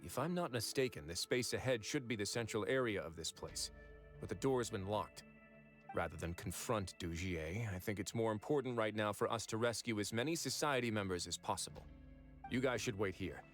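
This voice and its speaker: A man voicing a composed, calculating male warden in a game who speaks in a measured, reflective way. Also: on the low side, natural voice. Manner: measured, reflective, explains reasoning at length. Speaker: game character. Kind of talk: game voice acting. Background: soft game music.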